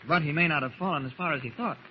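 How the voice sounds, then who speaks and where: A level-headed investigator hero in a 1940s radio serial, acted by a man, with a slightly high male voice. He is courteous but direct and probing, on a muffled recording with faint noise. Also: very fast, lightly acted. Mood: calm, considerate. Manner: polite but blunt, probing.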